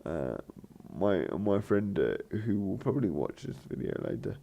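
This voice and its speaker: A man with a croaky, gravelly voice chats loosely over his game footage, stopping and restarting his sentences. His voice is low and dark, and it rises and falls a lot as he rambles. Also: big pitch swings, normal speed. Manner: hesitant, many fillers and restarts. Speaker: gaming channel host. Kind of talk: rambling let's play commentary.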